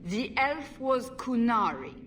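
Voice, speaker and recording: A woman's voice: on the high side, very animated, slow pace, a bit bright, slight background noise, heavily acted. A woman playing a stern, commanding female investigator in a fantasy video game, authoritative and clipped. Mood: stern, puzzled. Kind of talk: video game cutscene acting. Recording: distant voice with background ambience.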